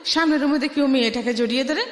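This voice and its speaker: A woman with a Bangladeshi Bengali accent tells her life story as a radio interview guest, haltingly, restarting phrases and dropping in English words. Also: sits high. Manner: halting, with repeated false starts and English words mixed in. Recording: echoey with long reverb.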